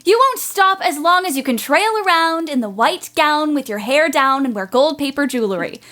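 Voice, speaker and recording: A woman's voice: high voice, lively intonation, moderate pace, sharp tone. A woman playing a spirited, outspoken teenage tomboy in a period audio drama, blunt and teasing. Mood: bossy, playful. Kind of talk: period radio-play acting.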